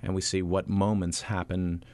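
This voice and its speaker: A deep-voiced man talks through his work as a stage actor in an interview. He pauses often, filling gaps with fillers and restarting sentences. The sound is dry and close, with no room around it. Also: level tone, darkish tone, clean tone, dry recording. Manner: halting, many fillers and restarts. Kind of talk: interview answers.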